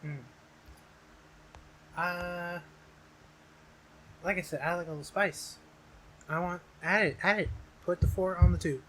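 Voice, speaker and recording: A young man with a bright, fairly high voice banters as a podcast co-host. He talks loosely in slang and swears often, with faint noise behind him. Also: fairly even intonation, unhurried, pauses often, poor audio. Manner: profane, slangy. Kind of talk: casual chat, banter.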